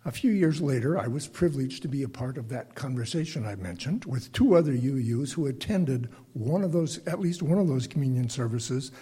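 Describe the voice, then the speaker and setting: An older man with a bass singing voice gives a reflective, prepared lay sermon to a congregation, speaking deliberately and haltingly with pauses. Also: lively intonation, mellow, breathy edge. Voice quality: self-described bass voice.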